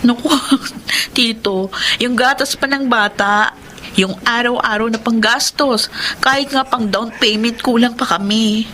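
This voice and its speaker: An actress playing an earnest young working wife in a Filipino radio drama, expressive and chatty. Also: female voice, fairly high pitch, a little slow, slight background noise. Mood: grateful, playful. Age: young adult.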